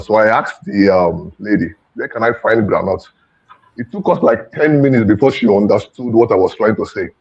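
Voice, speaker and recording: Husky low man, gravelly. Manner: relaxed anecdotal, frequent fillers. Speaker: panel speaker. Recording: dull, muffled sound.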